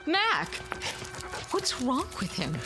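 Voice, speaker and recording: A woman's voice: sits high, huge pitch swings, slow pace, music in the background, full character voice. An actress playing a frightened, distraught mother in a radio drama, fretful and questioning. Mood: alarmed. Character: frightened, distraught young mother searching for her daughter.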